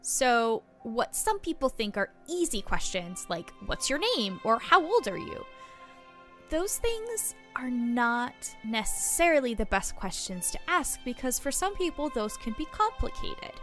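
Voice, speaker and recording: Woman as small-town female reporter. Animated, fairly high voice, patient, instructive, encouraging, for an audio fantasy story. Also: unhurried, faint music behind. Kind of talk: improvised story roleplay podcast.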